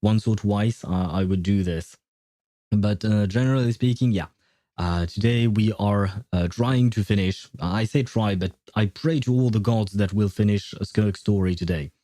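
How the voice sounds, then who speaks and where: Man, livestream host, lowish voice, mellow tone, casual chatty delivery, dry close sound. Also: normal speed, no room sound. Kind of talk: casual livestream chat with viewers.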